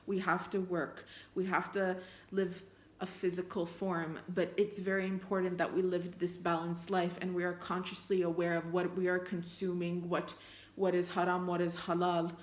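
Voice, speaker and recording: Low-voiced woman in an echoey room. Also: level tone. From Armenia. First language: Armenian. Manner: earnest, unhurried, thinking aloud with fillers and restarts. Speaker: spiritual vlogger. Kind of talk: reflective talk mixed with reading scripture aloud.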